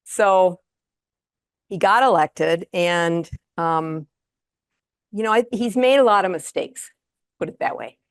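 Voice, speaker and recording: A woman's voice: lowish voice, slow, long pauses, no echo, studio quality. A retired older woman giving a casual lecture to an audience, with many fillers and restarts. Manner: conversational, frequent fillers and restarts. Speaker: guest lecturer. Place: lecture room.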